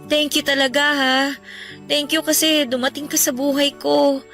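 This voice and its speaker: Casting: heartfelt Filipina bride-to-be, very high bright voice, radio melodrama over soft music. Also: female voice, flat delivery, moderate pace. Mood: grateful, tender. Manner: tender, heartfelt, emotional. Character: devoted bride-to-be and mother, tender, pleading. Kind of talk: Filipino radio drama acting.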